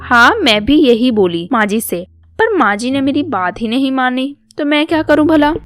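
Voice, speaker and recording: A woman's voice: high-pitched, animated, normal speed, fairly bright tone, no room sound, clean studio sound, faint music behind. A woman voicing a sly, gossipy young daughter-in-law who feigns innocence in a storybook tale. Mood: sly tattling.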